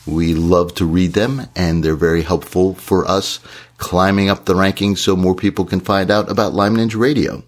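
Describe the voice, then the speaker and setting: A man, hoarse from shouting, co-hosting a podcast in a casual, encouraging way. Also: low-pitched, steady tone, moderate pace. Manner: earnest, encouraging advice. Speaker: podcast co-host.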